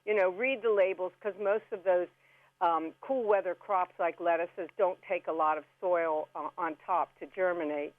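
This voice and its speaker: A woman's voice: fairly low pitch, fairly even intonation, moderate pace, dry recording. A woman giving casual, chatty step-by-step instructions as a radio guest, in a folksy way. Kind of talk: casual step-by-step how-to chat. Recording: band-limited phone-like radio call.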